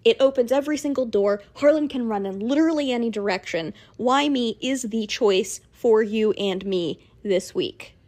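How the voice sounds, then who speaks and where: Woman with highish voice doing a dramatic pitch, theatrical, big deliberate pauses. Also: darkish tone. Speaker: listener sending a voice submission. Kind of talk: dramatic persuasive monologue.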